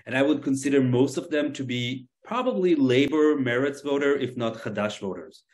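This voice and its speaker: A man's voice: medium pitch, lively intonation, normal speed, darkish tone. A man from Israel with an Israeli accent, speaking as a panelist in a webinar discussion, talking conversationally with many fillers and restarts.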